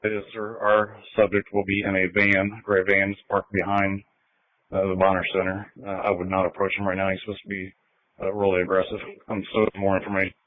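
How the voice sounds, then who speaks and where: A male police officer speaking calmly and laconically over a tinny radio. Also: deep voice, brisk, dry recording.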